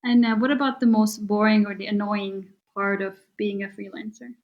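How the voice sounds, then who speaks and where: A woman's voice: medium pitch, flat delivery, normal speed, narrow, tinny audio. A woman hosting a podcast who asks friendly questions with many "uh" fillers. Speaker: podcast host. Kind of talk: casual interview.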